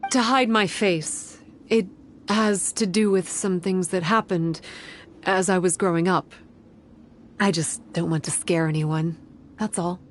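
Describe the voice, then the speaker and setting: A woman playing a solemn young shrine maiden in a video game, guarded and scholarly, explaining in measured, formal phrases. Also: lowish voice, fairly even intonation, slight background noise, close to an everyday voice. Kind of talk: video game character acting.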